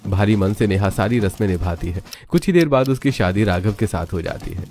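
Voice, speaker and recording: A man's voice: on the low side, expressive, fairly quick, a little mellow, soft background music. A man narrating a scripted family drama story in a dramatic storytelling style.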